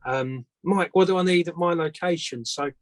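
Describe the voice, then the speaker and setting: A man's voice: fairly high pitch, moderate pace, no echo. A man who makes antennas, answering questions casually as a guest in a plain-spoken, hesitant way. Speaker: antenna maker, guest speaker.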